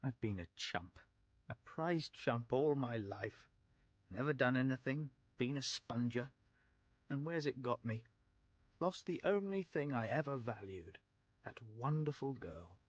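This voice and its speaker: An actor playing a hapless, excitable young British gentleman in a vintage radio drama, theatrical and self-pitying with genteel old-fashioned exclamations. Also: medium pitch, slightly rough, no room sound, dull audio.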